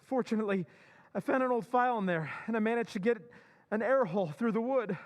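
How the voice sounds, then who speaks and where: Guy playing a frantic husband in a radio play, rushed, high voice. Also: lightly acted. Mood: urgent. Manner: urgent, hurried. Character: desperate middle-aged husband Walter. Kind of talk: old-time radio drama recreation.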